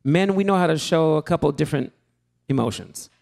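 A man teaching a sermon-preparation workshop to a live group in a church hall, animated and joking, calling out to the room. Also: fairly high pitch, a little fast, creaky. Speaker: preaching instructor.

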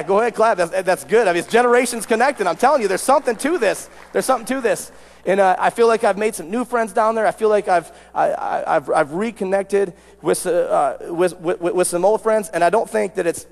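A man in his late thirties with an American accent, preaching an informal sermon, repeating phrases for emphasis and restarting sentences. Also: fairly high pitch. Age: late 30s. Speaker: youth pastor preaching. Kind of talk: casual sermon to a congregation. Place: large church sanctuary stage, indoors. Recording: reverberant church hall sound.

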